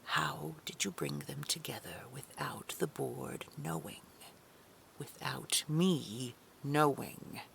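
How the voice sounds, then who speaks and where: Audio drama villain: woman playing a condescending female boss, very low voice, slow, syrupy menace. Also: animated, a bit bright, a little hiss or hum, heavily acted. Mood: cold, threatening. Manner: patronising endearments, slow controlled menace, often breathy near-whisper.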